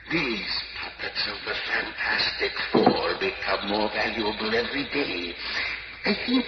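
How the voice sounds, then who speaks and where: An actor playing a domineering, scheming middle-aged villain who controls puppets, in a theatrical radio drama style. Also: male voice, low voice. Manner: theatrical, menacing, commanding. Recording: noisy, muffled old radio broadcast.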